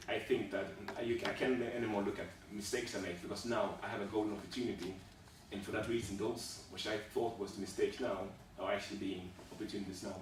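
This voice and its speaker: Man, job applicant, halting speech, lowish voice, very echoey room, far from mic, background noise. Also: fairly even intonation. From Sweden. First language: Swedish. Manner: halting, many fillers and restarts. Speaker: job applicant in a practice interview. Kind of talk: hesitant interview answers.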